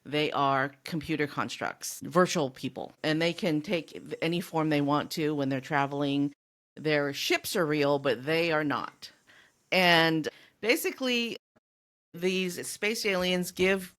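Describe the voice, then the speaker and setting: A woman's voice: deep voice, a bit bright. A woman co-hosting a podcast, discussing books casually in an explanatory, matter-of-fact way. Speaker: podcast co-host.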